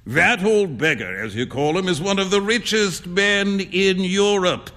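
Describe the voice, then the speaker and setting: A man's voice: high-pitched, a little slow, bright, forceful tone, a little hiss or hum, voice pushed up, full character voice. A man voicing a worldly, amused male painter in a storybook reading, theatrical and teasing, with drawling emphasis. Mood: amused, grand. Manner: flamboyant, drawling emphasis, drops in French phrases.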